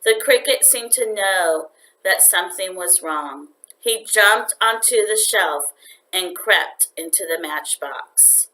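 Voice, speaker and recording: A woman reading a children's story aloud like a teacher, with expressive, deliberate narration. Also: medium pitch, rough recording.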